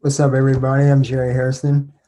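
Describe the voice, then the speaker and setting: Flat, warm-voiced young man. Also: mid-range voice, fast. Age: young adult. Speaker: pageant contestant. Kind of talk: self-introduction.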